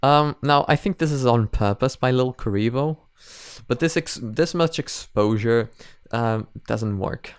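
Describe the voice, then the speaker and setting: A man's voice: medium pitch, unhurried, smooth, no echo. A man hosting a reaction video, talking in a casual, rambling, conversational way.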